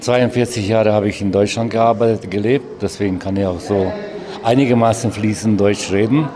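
An older man from Vojvodina with a Hungarian accent, answering an interview in halting non-native phrasing with fillers. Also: fairly low pitch, steady tone, crowd noise. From Vojvodina, Serbia. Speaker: author.

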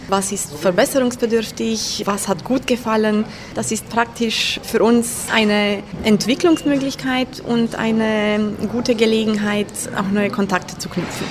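A woman's voice: medium pitch, background noise. A woman answering an interview as head of a literary circle, with frequent hesitant fillers.

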